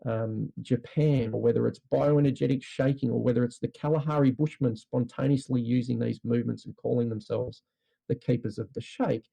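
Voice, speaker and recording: A man's voice: mid-range voice, fairly even intonation, moderate pace, creaky, warm tone, breathy edge, poor audio. A man with an Australian accent, a podcast guest explaining earnestly and thoughtfully, with frequent "you know" fillers. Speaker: podcast guest, trauma release practitioner. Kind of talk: reflective podcast conversation.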